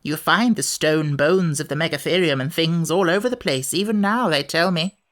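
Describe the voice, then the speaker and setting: A woman's voice: low voice, moderate pace, natural voice. A peevish, ancient sand fairy from a children's storybook, played by a woman. The creature is fussy and sorry for itself, spinning out old memories in a gloomy, grumbling manner. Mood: matter-of-fact. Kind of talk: children's audiobook character voice.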